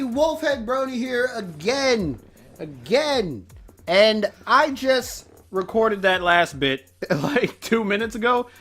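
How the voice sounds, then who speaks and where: Animated, high-pitched man reacting. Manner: animated, rambling, exclaiming. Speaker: reaction video host. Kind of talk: casual reaction commentary.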